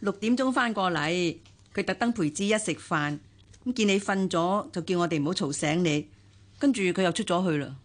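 An actress playing an attentive, respectful household helper in a radio drama, with a Hong Kong Cantonese accent. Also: female voice, on the low side, moderate pace, natural voice.

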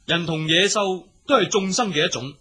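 A pensive young prince from a radio drama. His voice is very husky and rough at a middle pitch, and he speaks earnestly, sighing and musing as if to himself. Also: normal speed, sharp tone, exaggerated voice. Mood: earnest pleading. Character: gentle compassionate young prince, pensive, self-sacrificing. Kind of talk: Cantonese radio drama acting.

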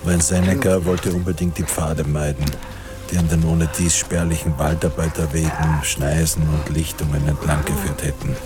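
A man's voice: rumbling low, little pitch movement, normal speed. A man acting as the sombre third-person narrator of a war tale in a radio play. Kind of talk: scripted radio drama narration. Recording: music under the voice. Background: music.

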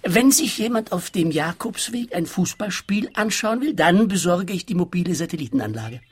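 A man playing an earnest, obliging pilgrim-hut host in a radio play, matter-of-fact and eager to please, with a husky voice. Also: high-pitched, animated, moderate pace. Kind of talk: German-language radio play acting.

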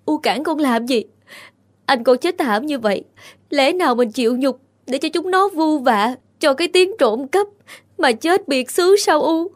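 A woman voicing a loyal, fierce young village woman who speaks in rapid, indignant bursts in an audio story. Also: high-pitched, expressive. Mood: tearful.

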